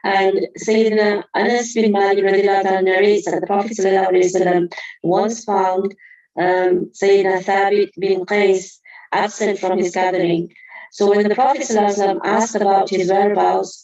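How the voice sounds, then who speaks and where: A woman's voice: medium pitch, level tone, brisk, darkish tone, muffled recording. A woman giving an earnest Qur'an study lesson, explaining verses carefully with reverent honorifics. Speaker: religious teacher.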